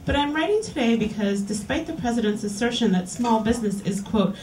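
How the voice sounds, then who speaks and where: Brief: woman, higher voice, reading aloud to a bar crowd, picked up from across a roomy space. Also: lively intonation, a little mellow, slight background noise. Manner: clear, deliberate reading-aloud delivery. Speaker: reading series host. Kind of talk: live reading of erotica to an audience. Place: small lounge bar in front of an audience. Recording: roomy, distant live capture.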